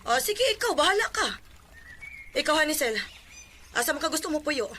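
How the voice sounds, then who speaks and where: High-voiced, fed-up, hot-tempered mother. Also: lively intonation, fairly quick, bright, forceful tone. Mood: fed-up, curt. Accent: Cebuano Filipino. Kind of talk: Bisaya radio drama acting. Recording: noisy radio drama with ambient sounds.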